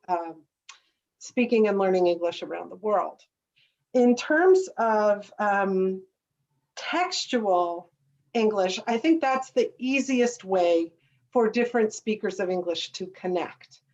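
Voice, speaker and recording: An American woman giving a friendly, measured talk to students over a webinar. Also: mid-range voice, darkish tone. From United States. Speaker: guest speaker. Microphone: video call. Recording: distant, dull lo-fi webinar audio.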